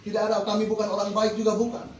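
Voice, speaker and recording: Fervent high-voiced preacher in echoing hall. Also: male voice, monotone, very fast, a little mellow, a little hiss or hum. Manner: fervent, rhythmic, repeats formulaic phrases. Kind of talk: Islamic sermon to a congregation. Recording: echoey hall recorded from far off.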